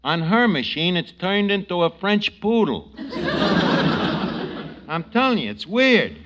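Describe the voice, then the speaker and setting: An actor playing a wisecracking, gloomy New York tavern manager in a 1940s radio comedy, slangy and grumbling with a New York accent. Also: male voice, high voice, measured pace. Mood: exasperated. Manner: slangy, ungrammatical, grumbling deadpan. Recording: muffled, noisy old radio recording.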